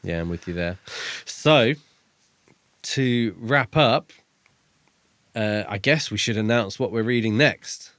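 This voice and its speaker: A man's voice: on the low side, slow pace, long pauses, a bit bright, dry recording. A man, co-hosting a podcast in a casual book review chat. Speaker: podcast co-host.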